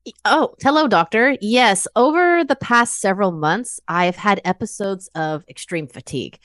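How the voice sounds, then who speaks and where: A woman's voice: medium pitch, moderate pace, fairly bright tone, no room sound, studio quality, natural voice. A woman playing a worried, forthcoming patient in her mid-fifties, earnest and conversational, in an acted exam-practice consultation.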